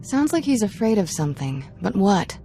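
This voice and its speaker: A woman's voice: medium pitch, sing-song, normal speed, mellow. An actress playing a wry, streetwise young woman in a video game, speaking in clipped, casual phrases. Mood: brisk, casual. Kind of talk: video game cutscene acting.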